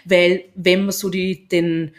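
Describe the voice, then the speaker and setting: A woman chatting as a co-host, her voice sitting a little low. She talks in a thoughtful, self-questioning way and keeps stopping on "ähm" to find her next words. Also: flat delivery, slow. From Austria. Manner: reflective, searching for words with frequent "ähm". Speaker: podcast co-host. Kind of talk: casual conversation.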